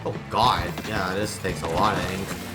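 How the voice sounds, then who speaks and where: A man's voice: low-pitched, flat delivery, a little slow, over loud music. A man live streaming a game, chatting casually with viewers in an informal, rambling, exclamatory way.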